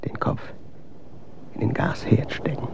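An actor playing a weary, melancholic man reciting bleak verse in a hushed, fragmentary way for a radio drama. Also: whispered, lightly acted. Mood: bleak, brooding. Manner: slow, fragmentary, repetitive.

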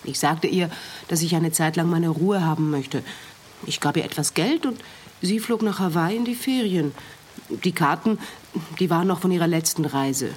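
A woman's voice: on the low side, animated, moderate pace, a little mellow, a little hiss or hum, lightly acted. An actress playing a guarded, hesitant young woman with something to hide in a radio play. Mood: subdued, resigned. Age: young adult. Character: guarded young woman, a runaway thief confronted by detective.

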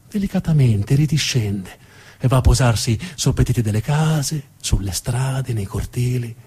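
A man narrating a theatrical monologue with expressive, dramatic storytelling. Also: medium pitch, slightly rough. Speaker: narrator and actor.

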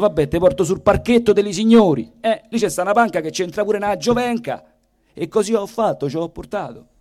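A man with a Roman accent performing theatrical comic storytelling in an expressive, colloquial, declamatory way. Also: on the high side. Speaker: stage performer, monologist and singer. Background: plucked guitar.

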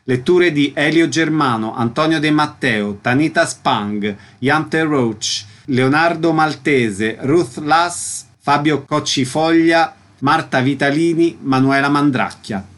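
A male radio host with a slightly high voice presents scripted material. He speaks slowly, item by item. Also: fairly bright tone.

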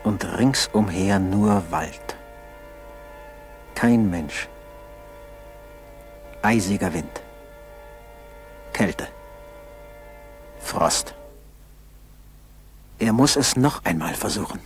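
A man narrating a radio play solemnly, in a measured way with dramatic pauses. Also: lowish voice, expressive, soft background music.

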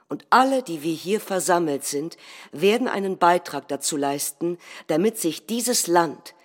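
A woman acting in documentary theatre, playing a proud, fervent right-wing politician speaking emphatically to followers. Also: fairly low pitch. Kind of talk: staged political speech.

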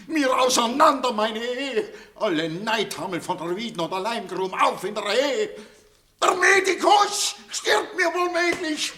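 Casting: domineering, excitable older father for radio drama, high sharp voice, wildly swooping. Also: a little hiss or hum, exaggerated voice. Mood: outraged. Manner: agitated, exclamatory, obsequious to nobility. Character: blustering, tyrannical, social-climbing older Viennese father. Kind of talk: classic German-language radio play acting.

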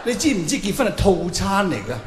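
A man's voice: high voice, very animated, a little fast. A man voicing a nagging, indignant wife in a comedy routine, whiny and accusing. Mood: sarcastic, aggrieved. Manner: whiny, accusing, rhetorical questioning. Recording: echoey hall with long reverb, clear noise.

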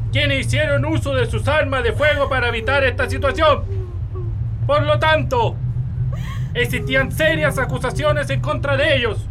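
A male actor playing a stern, dutiful military man in a radio drama, formal, loud and clipped. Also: extremely high pitch. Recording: noisy crowd and outdoor ambience behind.

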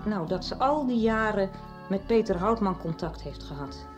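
Radio play: actress as confiding middle-aged woman, chatty, halting, faint music bed. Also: mid-range voice, animated, lightly acted. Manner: confiding, chatty storytelling with hesitations. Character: middle-aged woman confessing her past. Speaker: radio drama character. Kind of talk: 1990s radio drama acting.

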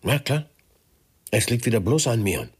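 A man's voice: medium pitch, very animated, normal speed, over loud music, lightly acted. An actor playing a whiny, defensive press spokesman at odds with his girlfriend in a radio crime drama.

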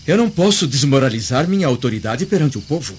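A man's voice: mid-range voice, very animated, fast, close to an everyday voice. Casting: male actor as haughty patrician senator, stern, declamatory with big swings in intonation, muffled radio play. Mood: proud, dismissive. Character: proud, stern Roman senator. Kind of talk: radio drama acting. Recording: muffled radio drama, noisy ambience.

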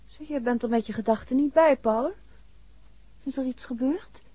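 An actress playing a lively, inquisitive detective's wife in a 1960s Dutch radio drama. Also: female voice, high voice, normal speed, slightly rough, no room sound. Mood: curious, teasing. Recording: dull 1960s radio recording.

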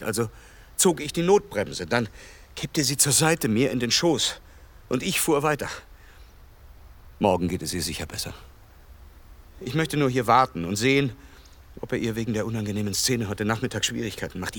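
An actor playing a shaken, devoted rich gentleman in a radio play, speaking in a hesitant, polite and confiding way. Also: lowish voice, animated, normal speed. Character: shaken, devoted rich gentleman keeping secret night watch. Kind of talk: naturalistic radio play acting.

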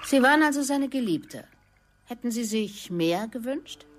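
A woman's voice: fairly high pitch, animated, a little slow, slight background noise. An actress in a German radio drama playing a probing female police inspector who also narrates, composed and direct. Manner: direct, controlled questioning.

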